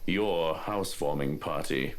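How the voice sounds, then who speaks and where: An actor playing a composed, dutiful male aide in a visual novel, speaking in a calm, measured, gently prodding way. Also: very deep voice, a crowd in the background. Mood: businesslike.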